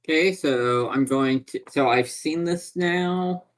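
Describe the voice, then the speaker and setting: A man's voice: a little high, slow pace. A man talking casually as a player in a tabletop roleplaying game.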